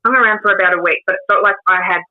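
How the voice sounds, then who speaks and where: A woman in her twenties with an Australian accent, a guest telling her life story in a casual interview, hesitant with many pauses and restarts. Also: medium pitch, fairly even intonation. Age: 20s. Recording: tinny phone-like line.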